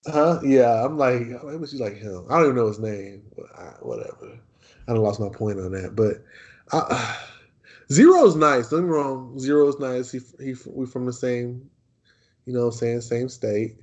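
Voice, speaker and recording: A man's voice: medium pitch, animated, moderate pace, a little mellow. A man in his twenties who grew up in Texas, co-hosting a casual podcast chat in a laid-back way, repeating himself and laughing easily. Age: 20s. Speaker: podcast co-host.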